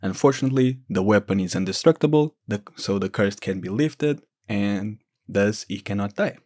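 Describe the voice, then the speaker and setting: A man's voice: on the low side, normal speed, darkish tone. A man giving informal, explanatory lore commentary as a gaming video creator.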